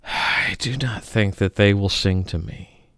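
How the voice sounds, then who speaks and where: A man giving a theatrical, melodramatic poetry reading, swinging between loud outbursts and hushed murmurs. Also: lowish voice, measured pace, smooth, no echo. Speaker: poetry reader.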